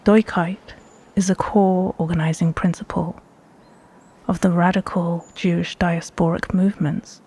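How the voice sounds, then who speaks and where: A woman with a hushed, breathy voice guiding a meditation in measured, deliberate phrases with pauses between them. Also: on the low side, little pitch movement. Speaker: meditation guide. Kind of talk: slow guided meditation narration.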